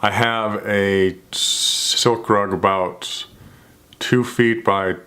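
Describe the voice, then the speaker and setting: A man with a somewhat low voice talks unhurriedly, stretching out his phrases. He stutters, repeating first sounds and restarting words, and speaks in an earnest, reflective way to the camera. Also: steady tone, bright, forceful tone, clear, resonant tone. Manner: measured, earnest, self-reflective. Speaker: vlogger.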